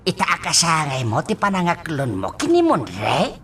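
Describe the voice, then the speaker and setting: A man's voice: on the high side, huge pitch swings, moderate pace, fairly bright tone. An actor with a Filipino accent playing a kindly, teasing older father in a radio drama. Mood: fond, teasing.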